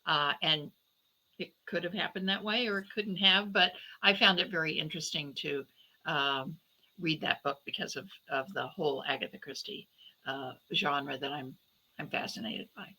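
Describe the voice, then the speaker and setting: Bright, sharp-voiced woman giving interview answers. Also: deep voice, fairly even intonation, moderate pace, poor audio. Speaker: guest novelist and podcaster.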